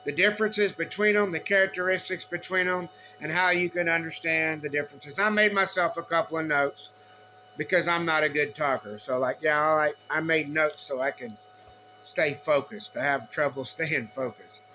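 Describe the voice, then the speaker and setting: Male explainer, high and bright voice, informal, choppy note-reading delivery, slightly noisy recording. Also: fairly even intonation. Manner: halting, repetitive, reads in short phrases. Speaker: explainer of radio antenna measurements.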